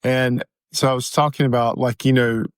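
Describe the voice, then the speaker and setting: A man's voice: medium pitch, little pitch movement, normal speed, clean tone, no room sound, studio quality. A man co-hosting a podcast in casual conversation, explaining things through examples and anecdotes. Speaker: podcast co-host.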